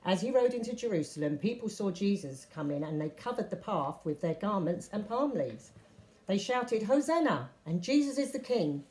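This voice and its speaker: A woman reading a Bible story aloud as scripted narration, with expressive delivery of quoted speech. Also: medium pitch, normal speed, rough recording. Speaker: story reader.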